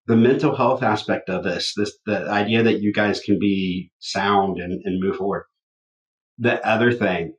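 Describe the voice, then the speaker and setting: A loud-voiced man co-hosting a podcast in casual chat. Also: lowish voice, roomy sound, rough recording. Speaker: podcast co-host.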